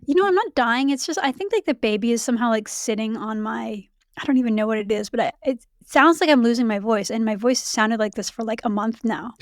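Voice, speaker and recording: A woman in her thirties hosting a casual podcast chat, her voice raspy and hoarse from late pregnancy, talking in a chatty way with many fillers. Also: a little high, brisk, no room sound, studio quality. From Canada. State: heavily pregnant, voice going hoarse. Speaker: podcast host.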